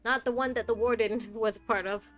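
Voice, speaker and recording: Woman game streamer, higher-pitched, casual commentary, chatty, playful, faint music underneath. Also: steady tone, normal speed, fairly bright tone. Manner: chatty, playful, reacting aloud. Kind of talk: casual live gameplay commentary.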